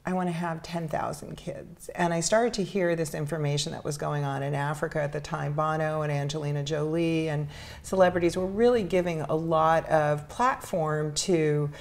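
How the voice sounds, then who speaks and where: A middle-aged woman with an American accent, telling her personal story earnestly and reflectively as an interview guest, pausing between phrases. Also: low voice, vocal fry. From New York City, United States. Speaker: interview guest, charity founder.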